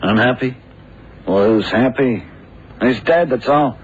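A man's voice: medium pitch, unhurried, breathy edge, muffled recording. An actor playing a weary, defensive man in a radio crime drama, curt and evasive. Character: weary, defensive male friend of a murdered man. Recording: phone-thin vintage radio recording.

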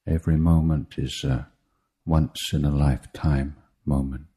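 A man teaching meditation in a guided talk, speaking in measured short phrases with deliberate pauses and a husky voice. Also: extremely low pitch, little pitch movement, warm tone. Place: meditation retreat.

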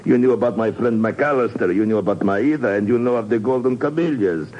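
An actor playing a suave, heavy-set spy in a 1950s radio drama, pompously courteous and menacing. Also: male voice, medium pitch, brisk, darkish tone, close to an everyday voice. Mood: quiet menace. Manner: silky, pompous, chuckling politeness. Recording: muffled, noisy 1950s radio recording.

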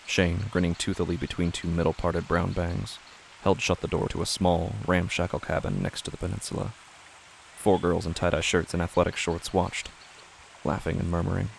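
A man narrating a scripted horror story in a hushed, deliberate, brooding, gravelly manner. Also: sits low, little pitch movement, a little hiss or hum.

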